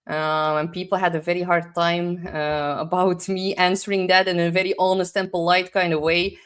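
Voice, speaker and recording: A woman's voice: lowish voice, level tone, moderate pace, clear, resonant tone. A young woman with a Dutch accent gives a rambling, casual astrology forecast, full of "like" fillers, sometimes searching for English words. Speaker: astrologer host.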